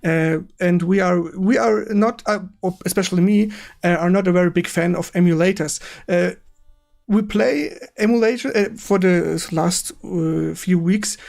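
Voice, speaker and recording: A man with a German accent hosting a casual livestream interview in halting non-native English, often repeating words and agreeing quickly. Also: sits high, gravelly, darkish tone. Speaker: stream host interviewing a game developer. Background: music in the background.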